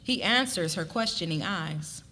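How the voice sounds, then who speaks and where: A woman's voice: lowish voice, animated, unhurried, bright, forceful tone. A woman narrating a scripted story as a dramatic reading.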